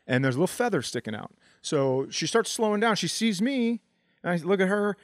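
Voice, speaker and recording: Animated man telling comic stories. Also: sits high, a little fast, no echo, clean studio sound. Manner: animated, acts out quoted dialogue. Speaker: comedy podcast co-host. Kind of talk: casual comedic storytelling.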